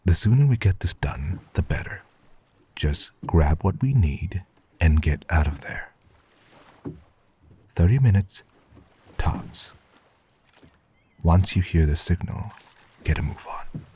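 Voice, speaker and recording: A man playing a cautious, gruff accomplice in an audio drama, giving terse instructions in a hushed voice. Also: deep voice, animated, takes it slowly, long pauses, full character voice. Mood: urgent, hushed. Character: gruff, cautious male accomplice planning a covert break-in.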